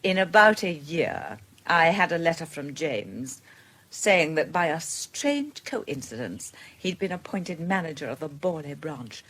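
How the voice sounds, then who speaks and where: Anxious British wife, low-voiced. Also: female voice, very animated, measured pace, a bit bright, exaggerated voice. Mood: guarded, recounting. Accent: British English. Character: anxious, wronged wife in love, wistful and restrained. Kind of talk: BBC radio drama acting.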